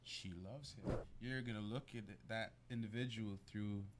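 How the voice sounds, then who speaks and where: A man answers questions as a guest on a talk show. His voice is a little low, and his delivery is casual and slangy, with frequent checks that the listener agrees. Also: steady tone, moderate pace, slight background noise. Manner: informal, slangy, seeks agreement with "right?". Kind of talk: casual conversational interview answers.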